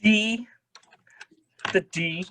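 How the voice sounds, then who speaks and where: A woman's voice: mid-range voice, extremely slow, a crowd in the background. Playful, teasing podcast co-host woman. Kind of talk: casual chat.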